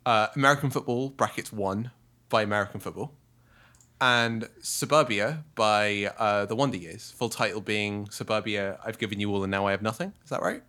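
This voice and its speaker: A man hosting a music podcast in casual chat, joking and unhurried, with some swearing. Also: fairly low pitch, fairly even intonation, bright, forceful tone. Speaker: podcast host.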